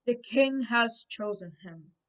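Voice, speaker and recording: Girl putting on a loud, curt king's soldier. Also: fairly high pitch, takes it slowly, rough recording. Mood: commanding. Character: gruff, bearded male soldier of the king, curt.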